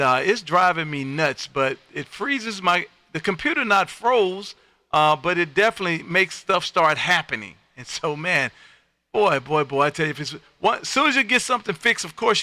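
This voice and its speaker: Man, higher-pitched, very bright and forceful, folksy, effusive, casual radio host. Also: lively intonation, moderate pace, no room sound. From United States, Texas. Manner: folksy, effusive, repeats phrases. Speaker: amateur radio operator and livestream host. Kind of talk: casual ham radio chat and call-outs.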